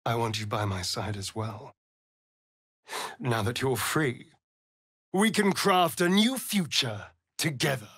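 An actor playing a sly, vain vampire rogue in a video game, with a theatrical, arch, drawling delivery. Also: male voice, medium pitch, lively intonation, slow, long pauses, a bit bright, breathy edge, no room sound, clean studio sound, heavily acted. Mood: hushed, intense.

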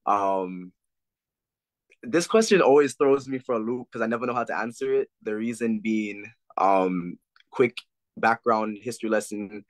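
A man's voice: on the low side, measured pace, dry recording. Young Jamaican-born man on a video call. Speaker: guest. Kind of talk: casual video call chat. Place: indoor room.

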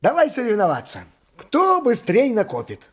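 An actor playing an eager, coaxing father in a Soviet radio drama, with an animated, cajoling manner. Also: very high-pitched, moderate pace, exaggerated voice. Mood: eager, instructive.

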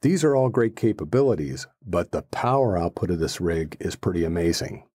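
A man with a low, mellow voice presents a how-to explanation. He sounds measured and matter-of-fact, and the recording is close and dry. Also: lively intonation, husky, no room sound. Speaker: host presenting a radio installation.

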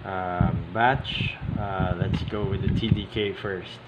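Slow, halting man over loud background noise. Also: sits low, highs cut off. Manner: halting, hesitant, pausing often mid-sentence. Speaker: hobbyist video host. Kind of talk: casual unscripted show-and-tell commentary. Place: indoors at a tabletop. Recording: steady loud air-conditioning hiss, handling clicks. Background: loud background noise, clicks.

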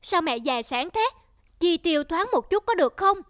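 A woman voicing a selfish young adult son in an animated moral tale, whining and complaining demandingly. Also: very high voice, moderate pace, dry recording, studio quality. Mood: sulky. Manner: petulant, complaining.

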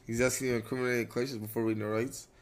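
A man's voice: medium pitch, level tone, racing. Slangy man chatting in game roleplay. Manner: slangy, swearing, evasive. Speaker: streamer playing a suspect in a roleplay game. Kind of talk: casual improvised game roleplay chat.

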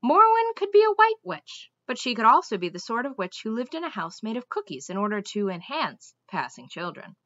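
A woman's voice: a little high, sing-song, brisk, hardly any pauses, fairly bright tone, no room sound, highs cut off. A young adult woman narrating a children's storybook expressively, like a teacher reading aloud. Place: small room indoors at home.

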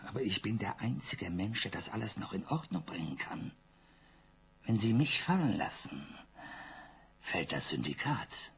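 A desperate, breathy banker. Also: male voice, on the low side, big pitch swings, a little slow, a bit bright, heavily acted. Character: cornered male banker, pleading, desperate. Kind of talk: 1980s German radio drama acting.

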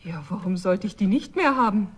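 A woman's voice: mid-range voice, animated, fairly quick, slightly rough. A woman playing a friendly, slightly puzzled lady customer in a children's radio play, polite and chatty.

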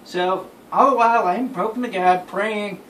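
A young man casually telling friends an anecdote in an animated way. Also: high-pitched, moderate pace. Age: young adult. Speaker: storyteller. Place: small bedroom, indoors. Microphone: phone camera a few feet away. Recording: roomy, distant phone mic with noise.

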